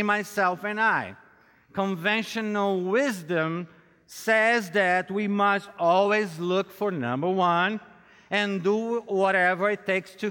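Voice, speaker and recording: Bright-voiced Brazilian pastor in a reverberant hall. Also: male voice, sits high. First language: Brazilian Portuguese. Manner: joking, self-deprecating, addresses listeners directly. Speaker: pastor and conference speaker. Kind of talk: conference sermon with audience interaction. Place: large hall, speaking to a conference audience.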